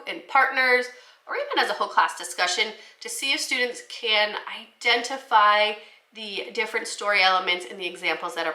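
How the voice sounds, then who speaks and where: Woman, teacher, very bright forward tone, instructional delivery, clear explanations, noticeable room echo. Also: medium pitch. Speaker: teacher presenting children's books for the classroom. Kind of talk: instructional video talk to fellow teachers. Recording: roomy, echoey indoor sound.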